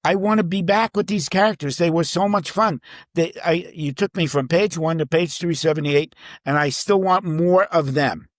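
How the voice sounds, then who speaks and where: Halting man chatting, dry close sound. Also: on the high side, no room sound. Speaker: crime thriller novelist, interview guest. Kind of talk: casual conversational interview.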